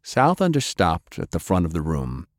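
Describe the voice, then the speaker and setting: A man's voice: deep voice, huge pitch swings, moderate pace, no echo, studio quality. A man reading adventure fiction as a dramatic audiobook narrator.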